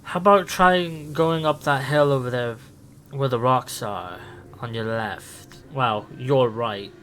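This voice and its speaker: A man playing a quirky time-travelling adventurer and helpful guide, halting as he thinks aloud in improvised role-play. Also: mid-range voice, a bit bright, a little hiss or hum.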